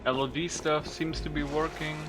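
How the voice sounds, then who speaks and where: A man's voice: fairly high pitch, little pitch movement, normal speed, creaky, music in the background. A man giving casual, unscripted gameplay commentary, thinking aloud in an informal way with some mild swearing. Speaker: gaming video creator.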